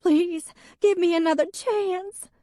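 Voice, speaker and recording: A woman's voice: big pitch swings, measured pace, darkish tone, no room sound, clean studio sound. A woman voicing a dramatic, defensive young wife who turns tearful and pleading. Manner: shrill, pleading, emotional.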